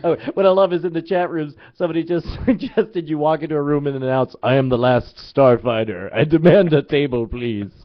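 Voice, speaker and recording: A man with a slightly high voice hosts a loose, casual live show. He laughs easily and trips over his fillers. The sound is dull and lo-fi, like an old web stream. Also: brisk, hardly any pauses. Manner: laughs often, stumbling fillers. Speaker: podcast host.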